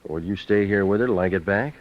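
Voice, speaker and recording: An actor playing a terse, wry American private eye in a 1940s radio noir drama. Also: male voice, lowish voice, fast talker, no echo, lightly acted. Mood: guarded, probing. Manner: terse, wisecracking, laconic. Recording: dull vintage radio recording with music.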